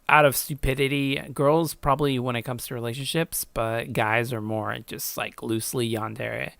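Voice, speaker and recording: A man's voice: mid-range voice, gravelly, a bit bright, smooth, dry recording. A young man chatting casually and informally as he hosts a reaction video and reads comments, giggly and self-deprecating. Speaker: YouTube host reacting to own story.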